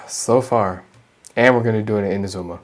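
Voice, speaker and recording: A man's voice: lowish voice, normal speed. A man giving casual, laid-back commentary over his gameplay, with mumbled asides. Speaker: gaming youtuber.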